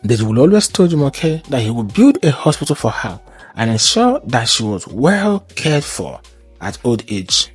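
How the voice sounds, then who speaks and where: A man narrating a scripted folktale in a measured, formal storytelling way. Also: mid-range voice, big pitch swings, husky, faint music behind.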